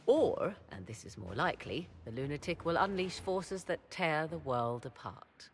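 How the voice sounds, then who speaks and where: Creaky-voiced, haughty mysterious sorceress. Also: female voice, low voice, very animated, a little hiss or hum. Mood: ominous, sardonic. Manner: archaic, theatrical, measured phrasing. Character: proud, mysterious sorceress with arcane knowledge. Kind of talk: dramatic video game acting.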